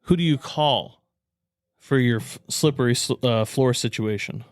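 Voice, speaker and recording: A man's voice: medium pitch, a little slow, no room sound, studio quality. A man co-hosting a podcast with casual, joking banter. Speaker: podcast co-host.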